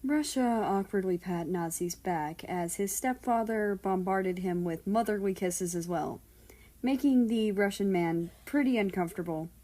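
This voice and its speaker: A woman reading fiction aloud as an expressive narrator of a story. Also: mid-range voice, a little slow. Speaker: fan fiction reader.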